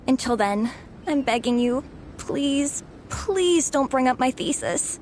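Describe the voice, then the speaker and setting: A woman playing an excitable young student and comic fanatic in a video game, theatrical and over-earnest. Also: sits high, takes it slowly. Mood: pleading, defeated. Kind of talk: video game character dialogue. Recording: game music behind voice.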